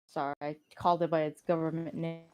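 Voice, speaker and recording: A woman's voice: deep voice, level tone, quick pace, a little mellow, smooth. A woman streaming a game in a casual, giggly chat style, swearing freely. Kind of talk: casual chat while gaming.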